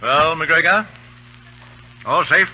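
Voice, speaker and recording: An actor playing a commanding, formal army colonel with a clipped manner in an old radio drama. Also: male voice, fairly high pitch, slow pace, slightly rough. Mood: brisk, businesslike. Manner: clipped, authoritative. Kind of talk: 1930s-40s radio drama acting. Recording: dull old radio recording, hiss and hum.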